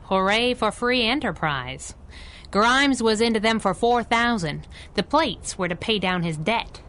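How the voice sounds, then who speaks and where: An actress playing a tough, wisecracking young masked crimefighter in a 1930s-style radio adventure, with a cocky, sardonic delivery. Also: female voice, medium pitch, animated, a little slow, a bit bright. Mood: wry, brisk.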